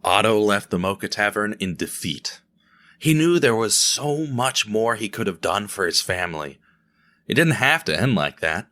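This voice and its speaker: A man's voice: lowish voice, expressive, moderate pace, bright, forceful tone. A man narrating an audio drama in a tense, building manner. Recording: music underneath.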